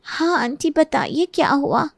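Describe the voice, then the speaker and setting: Breezy, high-voiced selfish young wife. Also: female voice, lively intonation, unhurried, vocal fry, no echo, clean studio sound, exaggerated voice. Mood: agreeable. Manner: breezy, dismissive, complaining. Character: selfish, lazy young daughter-in-law, breezy and entitled. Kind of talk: Hindi animated moral story acting.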